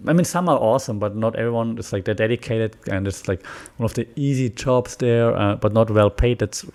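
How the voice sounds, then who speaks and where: A man with a German accent and a somewhat low voice talks casually on his podcast. He rambles loosely, with frequent fillers and restarts. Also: fairly quick, a little mellow. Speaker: podcast host. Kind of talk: casual solo podcast talk.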